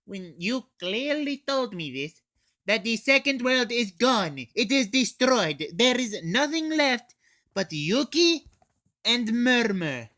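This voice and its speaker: A man's voice: very high voice, very animated, takes it slowly, sharp tone, pitched above the performer's own voice, heavily acted. A man voicing a brash, teasing soldier in a scripted comic video review. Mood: needling. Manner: needling, punctuates talk with "comrade" and "duh".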